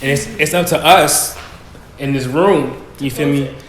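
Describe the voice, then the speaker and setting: A man's voice: a little high, a little slow, fairly bright tone. A young man on a student panel, talking earnestly and casually, often checking in with "you know what I'm saying". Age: young adult. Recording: echoey room, faint background noise.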